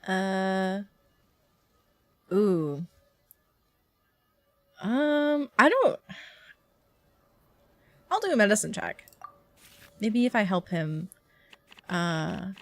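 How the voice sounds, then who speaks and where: A woman's voice: medium pitch, very slow, pauses often, no echo. Girl streamer reacting to a game, gushing, casual, really up and down voice, dry mic. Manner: gushing, excitable. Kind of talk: casual live reaction commentary.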